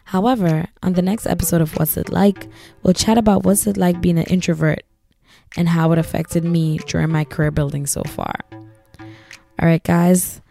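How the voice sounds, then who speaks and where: A young woman in her twenties hosting a solo podcast, husky and raspy from losing her voice, chatting casually and giving advice. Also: on the low side, fairly even intonation, moderate pace, mellow, faint music behind. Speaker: podcast host.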